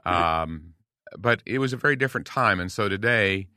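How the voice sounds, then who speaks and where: A man's voice: sits low, animated, normal speed, bright, forceful tone, no echo, clean studio sound. An American man in his sixties talking conversationally as an interview guest, explaining things in a folksy way. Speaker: podcast guest, veteran homeschool dad and speaker.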